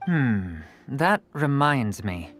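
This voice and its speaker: A man's voice: medium pitch, very animated, very slow, a bit bright, heavily acted. An actor voicing a persuasive male merchant in a video game, talking like a practiced salesman. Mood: reflective. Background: game music.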